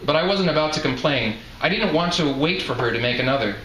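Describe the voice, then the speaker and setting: Bright-voiced man reading fiction, echoey room. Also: on the high side, expressive, quick pace. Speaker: author reading his novel. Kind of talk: literary reading aloud, first-person narration. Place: live reading event indoors. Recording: echoey room, faint background noise.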